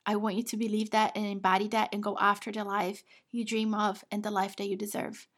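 A woman's voice: medium pitch, little pitch movement, a little fast. A woman from Brazil with a Brazilian Portuguese accent, Portuguese her first language, hosting a motivational podcast in an encouraging, affectionate way. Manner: encouraging, affectionate, addresses listener as "my love". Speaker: podcast host and women's business coach.

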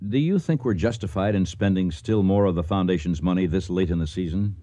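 A man playing a middle-aged archaeologist and expedition leader in a radio drama, speaking in a measured, civil, slightly hesitant way. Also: deep voice, warm tone, dry recording. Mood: concerned, civil. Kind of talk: radio drama acting and narration.

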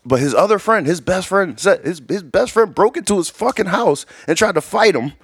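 Young man, casual storytelling, swoopy lively pitch, dry close sound, profane. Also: brisk, slightly rough, dry recording. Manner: animated, profane, acts out dialogue.

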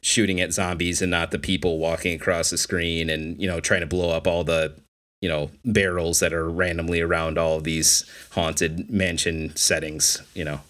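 A man with a fairly low voice talks casually, as a podcast host would. He rambles a little, turning thoughts over reflectively as he goes. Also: level tone, normal speed, a bit bright. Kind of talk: casual chat about video games.

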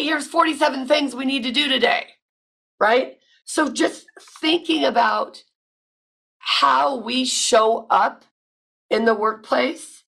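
A woman hosting a podcast, talking with loud, emphatic energy and repeating words when she stresses a point. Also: on the high side, vocal fry, husky, low-quality recording. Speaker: podcast host. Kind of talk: casual podcast monologue.

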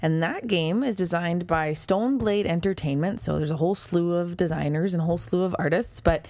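A woman with a lowish voice co-hosts a casual board game podcast. Dry, close recording. She is chatty and cuts in with quick jokes. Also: dark tone, no echo. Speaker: podcast co-host.

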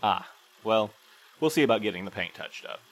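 A man's voice: on the low side, expressive, moderate pace, low-quality recording. An actor playing a fussy, rule-bound male postal supervisor in an audio drama, speaking in formal, precise phrases with a fretful air. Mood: polite, awkward. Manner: formal, prim, precise wording. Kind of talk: full-cast audio drama dialogue.

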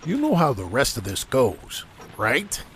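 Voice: mid-range voice, wildly swooping pitch, a little slow, fairly bright tone, a little hiss or hum, full character voice. An actor playing a smug, theatrical gangster and master of disguise in a noir audio drama, with a taunting, playful wiseguy manner. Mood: gloating.

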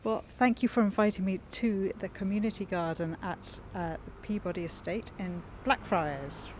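A woman hosting a podcast, asking friendly questions with soft laughs, outdoors in a community garden, sharing one small microphone. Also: medium pitch, normal speed. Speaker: podcast host. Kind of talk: casual interview. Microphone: sharing one small microphone with the guest.

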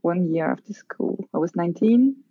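A woman with an Austrian accent speaks in a fairly low voice. She chats casually as an interview guest. The recording is close and dry, with a slightly dull, lo-fi sound. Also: animated, moderate pace, husky, no echo. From Carinthia, Austria. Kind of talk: casual chat.

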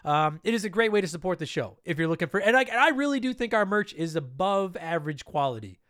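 A high-pitched man rattles through his podcast intro at a quick clip, sounding stuffed up from allergies. His voice is clean and smooth, recorded dry and close on a podcast mic, with a loose, rambling, profane way of talking. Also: big pitch swings, fairly bright tone, no echo. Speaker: podcast host. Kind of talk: casual podcast intro monologue.

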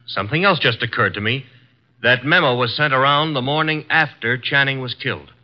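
An actor playing a wry, cocky young male assistant detective, with a brisk, wisecracking delivery in 1950s radio drama style. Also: medium pitch, lightly acted. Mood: eager reasoning. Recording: dull lo-fi 1950 radio transcription.

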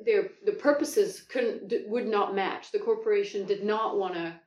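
A woman from France speaks at a middle pitch, enthusiastic but halting, often restarting and stretching out words as she tells her story. The mic sits some way off in an echoey home kitchen. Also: darkish tone, muffled recording. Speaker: guest. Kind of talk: casual conversational storytelling. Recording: echoey room, far from the mic.

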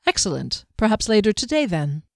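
Woman as a measured, wry male leader. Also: medium pitch, sing-song, creaky, no room sound, studio quality. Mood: agreeable. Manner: careful, measured, dryly amused.

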